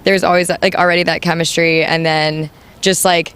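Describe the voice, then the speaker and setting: A young adult woman with a high voice, the band's new singer, answering interview questions casually with many "like"s and "um"s. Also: little pitch movement, moderate pace, a bit bright, smooth. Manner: chatty, full of "like" and "um".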